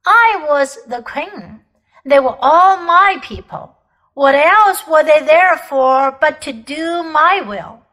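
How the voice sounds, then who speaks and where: A woman's voice: high-pitched, lively intonation, slow, fairly bright tone, voice pushed up, heavily acted. A woman reading aloud, voicing a proud, ruthless ancient queen who declaims grandly and looks down on common people. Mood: scornful. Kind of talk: audiobook character reading.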